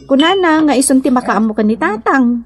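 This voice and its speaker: A woman's voice: sits high, expressive, a little fast, a little hiss or hum. A woman playing a chatty, frank young woman in a comedy radio drama, with an Ilocano accent.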